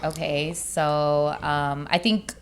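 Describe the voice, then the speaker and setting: A woman's voice: sits low, flat delivery, clear, resonant tone. A woman in her thirties from Chicago, born in Mexico, talking casually and informally as an interview guest. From born in Mexico, raised in Chicago. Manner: slangy, informal, quick to laugh. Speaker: interview guest, business owner. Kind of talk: casual chat.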